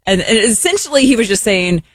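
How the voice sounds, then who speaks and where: A woman's voice: fairly high pitch, very animated, fairly quick, slightly rough. A woman co-hosting an evening radio show, telling a story in a rambling way with many restarts. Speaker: radio co-host. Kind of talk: casual radio chat. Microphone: studio microphone.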